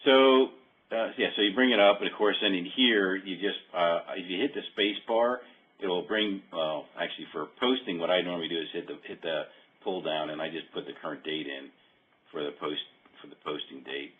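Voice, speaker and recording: A man giving an informal software walkthrough, explaining in a halting, hesitant way. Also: low-pitched, a little hiss or hum. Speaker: software demonstrator. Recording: dull phone-like conference-call audio.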